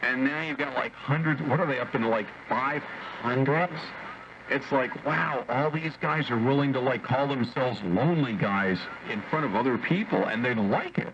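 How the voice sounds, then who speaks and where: A man's voice: mid-range voice, normal speed. A man chatting casually over shortwave ham radio in a wry, opinionated, joking way, like an operator in a group discussion. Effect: shortwave radio transmission. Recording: dull, noisy shortwave radio reception. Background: radio static.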